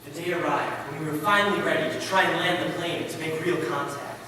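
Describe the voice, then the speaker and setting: A man's voice: a little high, quick pace, bright, forceful tone, distant mic. A man playing a resolute, devout missionary pilot and father in a readers theater piece. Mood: solemn, gentle. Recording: echoey hall from afar, faint noise.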